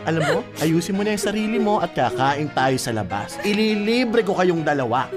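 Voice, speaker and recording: Animated delivery, protective older sister, blunt, scolding, loving, radio drama, music underneath. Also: female voice, on the low side, normal speed. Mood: indignant, scolding. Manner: scolding, emphatic, with frequent tag questions.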